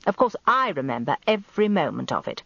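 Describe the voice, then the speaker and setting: Creaky-voiced British woman narrating, muffled recording. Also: low voice, big pitch swings, a little fast, fairly bright tone, dry recording. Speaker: narrator, reader of a radio story. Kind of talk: scripted first-person narration with acted dialogue.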